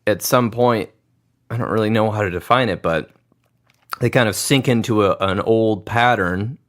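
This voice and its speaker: Low-voiced, reflective male podcast host. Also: moderate pace, a bit bright. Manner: thoughtful, reflective, musing aloud. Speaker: podcast host, grandson of the pianist. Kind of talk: conversational interview.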